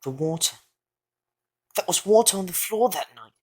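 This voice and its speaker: A woman playing an earnest, quick-thinking boy wizard in an audio drama, speaking with deliberate conviction. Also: lowish voice, expressive, a little mellow, slightly rough. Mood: urgent realisation. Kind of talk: fan audio drama acting.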